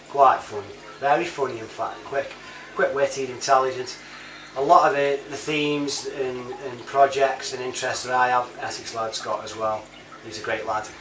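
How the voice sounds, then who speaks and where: A British man giving a casual, rambling monologue in a chatty, matey manner full of informal slang. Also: medium pitch. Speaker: online reviewer and CB chat app user. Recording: roomy, distant mic, clear background noise.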